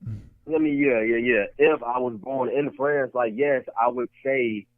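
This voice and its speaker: A man's voice: mid-range voice, measured pace. Argumentative man co-hosting a podcast. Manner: repetitive, argumentative, restarts points. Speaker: podcast co-host. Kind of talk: casual debate chat. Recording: tinny lo-fi podcast audio.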